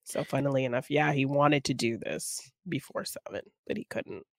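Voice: medium pitch, moderate pace, vocal fry, no room sound, clean studio sound. A podcast co-host talking casually and conversationally about films. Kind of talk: casual film discussion.

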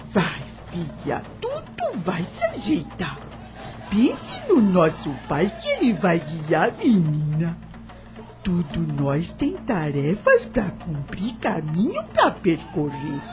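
An actress playing a wise, devout old enslaved nanny in a radio drama, gentle and motherly, with a husky voice and rustic, unschooled speech. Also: on the low side, heavily acted. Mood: tender, reflective. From taken by force from her homeland. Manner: rustic uneducated grammar, patient moral teaching.

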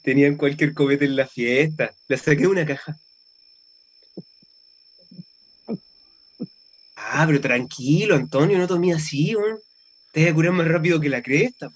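A man's voice: on the high side, fairly quick, slight background noise, natural voice, rough recording. An actor playing a worried, exasperated young man with a colloquial Chilean accent in a radio drama. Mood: concerned, reassuring. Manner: colloquial, slangy. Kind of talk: naturalistic radio drama acting.

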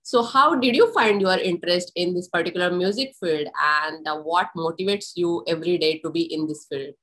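A woman host introducing her guest from a script in measured phrases, then asking interview questions. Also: fairly low pitch, a bit bright. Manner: reads intro in measured phrases, slows on lists. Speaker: podcast host.

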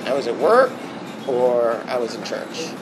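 A Bahamian man giving an interview, speaking reflectively with many pauses and restarts. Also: medium pitch. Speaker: interview guest. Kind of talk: casual interview talk. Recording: steady background noise.

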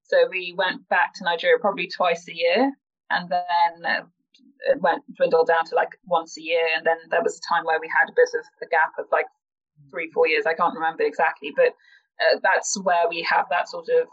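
A woman's voice: lowish voice, flat delivery, brisk, fairly bright tone, highs cut off. A woman talking casually as a guest in a podcast interview. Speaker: interview guest, company founder.

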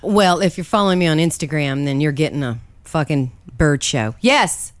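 A woman co-hosting a casual podcast, a bit stuffy and nasal from allergies, quick with sarcastic comebacks. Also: low voice, animated, dry recording. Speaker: podcast co-host. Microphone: studio mic.

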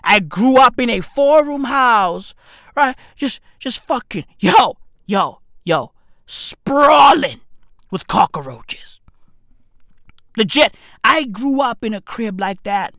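A comedian from Africa, delivering an emphatic, repetitive rant to camera, heavy with swearing and dropping at times to a whisper. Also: male voice, very high voice, animated, takes it slowly, creaky, fairly bright tone, no room sound, clean studio sound. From South Africa. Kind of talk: ranting monologue to camera.

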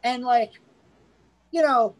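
A man's voice: very high voice, slow pace. A man co-hosting a casual film review podcast, rambling with many restarts and fillers. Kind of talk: casual chat.

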